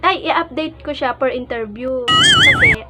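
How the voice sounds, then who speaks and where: A woman from the Philippines with a Filipino accent, chatting casually and in an animated, informal way as a vlogger. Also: high voice, measured pace, heavy background noise. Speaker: vlogger, prank caller.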